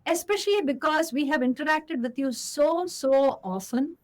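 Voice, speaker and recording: A woman's voice: high voice, moderate pace. A woman with an Indian English accent hosting a podcast, reading a formal intro and then asking her guest questions in an effusive way. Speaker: podcast host.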